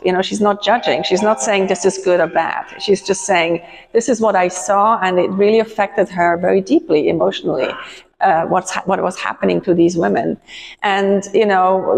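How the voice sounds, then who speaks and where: A woman's voice: on the low side, creaky, a little mellow. A woman curator leading a gallery tour for docents, talking conversationally with frequent ums and restarts. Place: large museum gallery. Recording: reverberant gallery hall.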